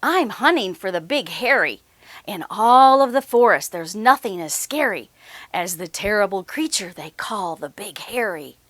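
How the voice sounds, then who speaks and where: A woman's voice: medium pitch, expressive, unhurried, fairly bright tone, no echo. A woman reading aloud as a brave, eager little boy bunny in a storybook, earnest and bold.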